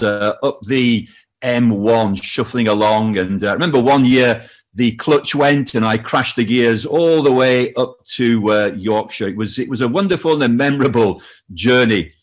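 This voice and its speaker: An older man with a northern English accent preaches a sermon in a relaxed, storytelling way. He pauses often with "uh" as he talks. His voice sits at an ordinary middle pitch for a man. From north of England. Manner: conversational storytelling with frequent "uh" pauses. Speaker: pastor.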